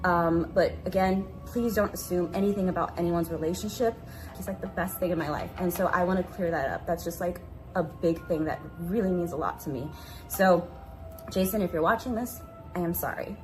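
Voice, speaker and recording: A woman with a fairly low voice makes a personal apology statement. Her delivery is earnest and halting, with clear music behind her. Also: rough recording. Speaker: online content creator. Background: background music.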